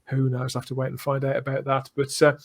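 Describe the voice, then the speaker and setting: Male podcast host, mid-pitched, chatty and discursive, with keen fan enthusiasm. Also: completely flat delivery, quick pace.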